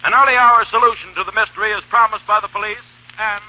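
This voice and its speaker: An actor playing an insistent, declamatory 1930s radio newscaster through a radio filter. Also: male voice, sits high, fairly even intonation, fast. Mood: urgent. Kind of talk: 1930s news bulletin reading. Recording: tinny, narrow 1930s radio sound.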